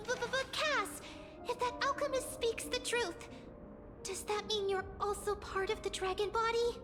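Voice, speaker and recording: A small, excitable video game companion. The voice is extremely high, squeaky and childlike, full of eager, naive questioning. Music is clearly heard behind it. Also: female voice, normal speed. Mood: flustered. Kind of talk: video game story dialogue. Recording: background music under the voice.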